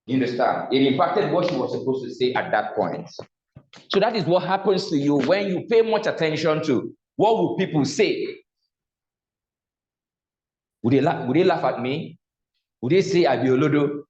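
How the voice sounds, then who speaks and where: A Nigerian-accented man leads a public speaking lesson, throwing questions to his students and supplying the answers himself. His voice sits a little high. The sound is roomy and a bit dull. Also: expressive, normal speed, long pauses, a little mellow. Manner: asks the class questions and answers them himself. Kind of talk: classroom lecture. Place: classroom. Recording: echoey room, slightly dull sound.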